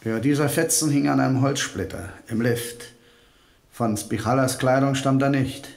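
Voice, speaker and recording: Brisk junior detective played by a man. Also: mid-range voice, darkish tone, natural voice. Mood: dutiful, matter-of-fact. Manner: matter-of-fact, crisp reporting. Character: brisk, cheeky junior male police detective, dutiful assistant. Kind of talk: German radio crime drama acting.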